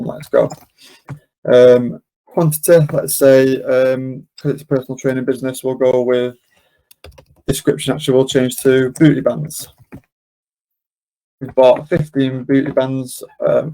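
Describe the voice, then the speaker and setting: A man presenting an informal software walkthrough, explaining casually step by step. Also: medium pitch, poor audio. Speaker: software demonstrator, webinar presenter. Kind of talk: informal screen-share walkthrough.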